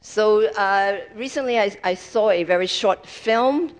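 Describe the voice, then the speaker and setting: A woman interpreting a sermon aloud, haltingly, piecing sentences together in short fragments. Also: medium pitch, poor audio.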